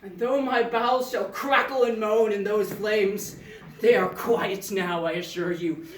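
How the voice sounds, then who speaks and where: A man playing a terrified condemned prisoner who feigns calm, stammering through a theatrical, old-fashioned comic monologue on stage. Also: high-pitched. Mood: faltering resignation. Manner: stammering, halting, mock-archaic bluster. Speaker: stage actor. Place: indoor theatre stage, recorded from afar. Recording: roomy distant stage recording, some noise.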